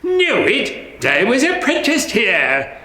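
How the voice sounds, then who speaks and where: An old miser voiced by a man draws his words out slowly and with feeling. The pitch sits above the reader's own. A roomy echo surrounds him. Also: huge pitch swings, bright, forceful tone, full character voice. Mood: excited. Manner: drawn-out, emotional delivery.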